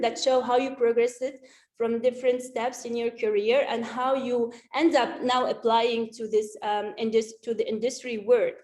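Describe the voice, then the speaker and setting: Higher-pitched woman. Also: darkish tone. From Tunisia. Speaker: conference speaker, data science team lead. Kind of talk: informal career advice presentation. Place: remote video conference.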